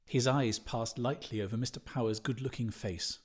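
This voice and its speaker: A man's voice: medium pitch, fairly even intonation, moderate pace, breathy edge. A man reading a literary novel aloud as a scripted audiobook narration. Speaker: audiobook reader.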